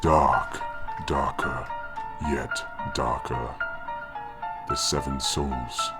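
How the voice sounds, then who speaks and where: Dark spectral villain, menacing, extremely deep pitch-shifted voice, very drawn-out pacing, game monologue, heavy music behind. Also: male voice, steady tone, full character voice. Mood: slow menace. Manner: slow, ominous, drawn-out delivery. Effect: digitally pitched far down.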